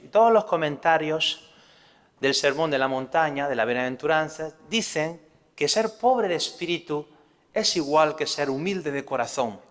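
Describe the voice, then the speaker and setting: Spanish priest preaching in a reverberant hall. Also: male voice, fairly high pitch, a bit bright. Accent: Spanish (Spain). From Spain. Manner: earnest teaching tone with rhetorical questions. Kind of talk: sermon.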